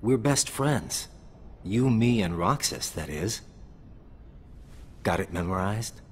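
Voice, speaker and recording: A man playing a laid-back, loyal and slightly cocky young best friend in a video game, relaxed and drawling. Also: on the low side, slow, a little hiss or hum. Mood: reassuring, easygoing. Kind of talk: video game cutscene acting.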